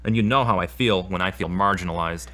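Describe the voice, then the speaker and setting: Man playing a low, troubled, remorseful man. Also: fairly quick, bright, forceful tone. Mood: frustrated, uneasy. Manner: earnest, confessional. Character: troubled, remorseful male therapy patient, guilt-ridden and self-doubting. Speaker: actor in an audio drama. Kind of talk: scripted audio drama dialogue and spoken prayer.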